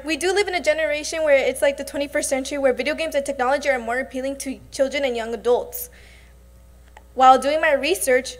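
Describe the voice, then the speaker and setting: A young woman, a student presenter, giving an earnest prepared speech. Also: high voice.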